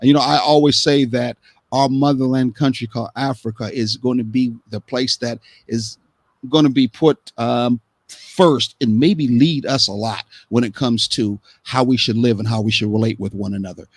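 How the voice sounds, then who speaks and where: A man's voice: medium pitch, fairly even intonation, normal speed, dry recording. A man hosting a live-stream talk show, speaking earnestly and rambling with repeated words. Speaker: host.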